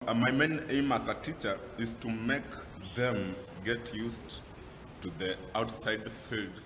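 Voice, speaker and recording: Slow, earnest young man. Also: medium pitch, steady tone. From Kenya. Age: 20s. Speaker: teacher. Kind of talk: interview statement. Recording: echoey hall, music behind.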